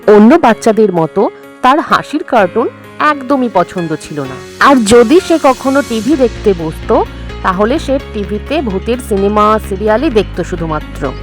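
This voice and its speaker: একজন নারী বাচ্চাদের ভূতের গল্প লিখিত পাঠ থেকে বর্ণনা করছেন।. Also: female voice, medium pitch, very animated, moderate pace. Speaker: storyteller. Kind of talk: scripted children's horror story narration. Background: faint music.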